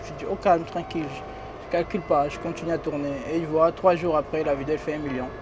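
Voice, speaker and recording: A man's voice: a little high, steady tone, fast. A young man with a foreign accent who did not grow up speaking French, talking casually in slang in an interview inside a limousine. He repeats phrases and laughs often.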